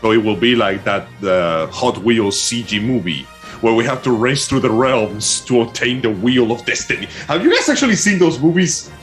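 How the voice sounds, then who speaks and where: A man's voice: medium pitch, expressive, moderate pace, faint music behind. A man co-hosting a live stream, joking casually with theatrical, mock-grand phrasing. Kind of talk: casual joking panel chat.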